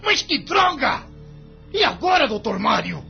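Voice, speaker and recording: An actor playing a greedy, scornful, hot-tempered law-firm boss with a Brazilian accent in an audio drama. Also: male voice, extremely high pitch, very animated, a little slow, vocal fry, exaggerated voice. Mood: outraged. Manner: mocking, sarcastic, blustering. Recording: dull lo-fi audio, music underneath.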